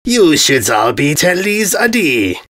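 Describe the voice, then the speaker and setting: A man voicing a brash, dim armored invader who shouts bombastically and mangles his words in a fantasy story. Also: a little high, sing-song, slow, pitched above the performer's own voice, full character voice. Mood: brash, demanding.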